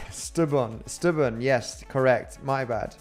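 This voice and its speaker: A man's voice: medium pitch, takes it slowly. Man, Spanish accent, rambling livestream host, faint music underneath. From Spain. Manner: rambling and chatty, with frequent fillers and laughs. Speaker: crypto trading host. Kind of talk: casual livestream chat reading viewer comments.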